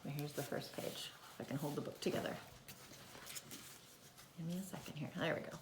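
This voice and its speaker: A woman's voice: deep voice, fairly quick. A woman teacher talking and reading a story to young children in simple, child-directed language, recorded indoors at home. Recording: roomy home recording with faint noise.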